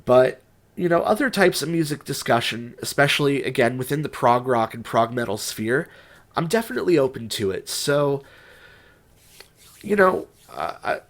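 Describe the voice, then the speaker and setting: A young man with a stuffed-up, congested voice, talking through an unscripted vlog in a rambling, hesitant way full of restarts and fillers. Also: mid-range voice. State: stuffed up, recovering from a cold. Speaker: music writer and YouTuber.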